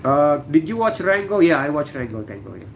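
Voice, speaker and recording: Middle-aged man from Indonesia, gravelly voice, casual halting livestream chat, faint room hum behind. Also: fairly high pitch. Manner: relaxed, halting, many fillers. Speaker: livestream host. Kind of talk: casual livestream chat reading viewer comments. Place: room indoors.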